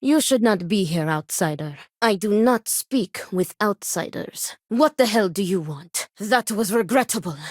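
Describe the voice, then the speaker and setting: A woman's voice: medium pitch, animated, unhurried, hardly any pauses, no echo, clean studio sound. A woman playing a stern, dutiful overseer of a family duel in a video game, speaking in formal, precise phrases. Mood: cold, hostile. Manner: formal, precise, clipped phrasing without contractions. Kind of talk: scripted video game character dialogue.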